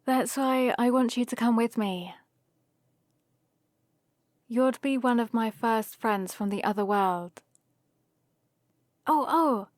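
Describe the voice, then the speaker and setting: A woman's voice: fairly high pitch, darkish tone, no room sound, clean studio sound, lightly acted. A woman playing a nerdy, cheerful young heroine in an ASMR roleplay, rambling in a friendly, explaining way to the listener. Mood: fond, confiding.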